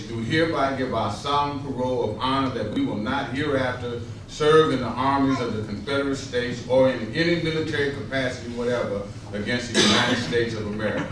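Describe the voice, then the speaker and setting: A male narrator reads scripted history formally for readers theater. His voice has an average pitch and declaims from afar. The room is very echoey and clearly noisy. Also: fairly bright tone, distant mic. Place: echoing room at a stage rehearsal.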